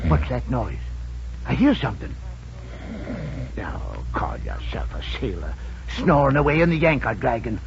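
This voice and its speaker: An actor playing a cantankerous, excitable little old sailor in a 1940s radio drama, talking in a folksy, scolding way. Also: male voice, mid-range voice, measured pace, creaky, pauses often. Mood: nagging, grumbling. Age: older. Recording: muffled, noisy old radio recording.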